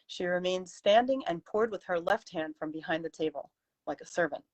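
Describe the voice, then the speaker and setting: A woman's voice: on the low side, normal speed, highs cut off. A woman author on a livestream, reading her story and chatting about it casually. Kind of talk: story narration and casual chat.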